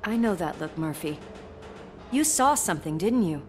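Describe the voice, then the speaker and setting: An actress playing a tough but caring, loyal police officer in a video game, brisk and wry. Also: female voice, lowish voice, expressive, a little mellow, a little hiss or hum, lightly acted. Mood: focused, supportive.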